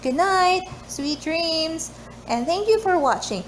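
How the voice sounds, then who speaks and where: A woman with a high-pitched voice reads a children's book aloud at a slow pace. Her delivery is deliberate and expressive, in the style of a homemade read-aloud video. There is noticeable noise behind her. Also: low-quality recording. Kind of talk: picture book read-aloud with casual chat.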